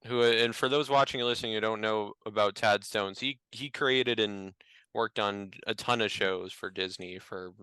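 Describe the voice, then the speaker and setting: A man's voice: lowish voice, normal speed, sharp tone, smooth, no echo, low-quality recording. A man co-hosting an interview show, speaking in a casual conversational style. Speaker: podcast co-host.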